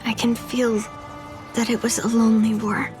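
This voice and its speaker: A woman acts out a young female game character, sombre and empathetic. Her voice is fairly high and stays very level, with a subdued delivery. Music sits clearly underneath. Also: little pitch movement, moderate pace, lightly acted. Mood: sombre wonder. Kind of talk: dramatic video game voice acting.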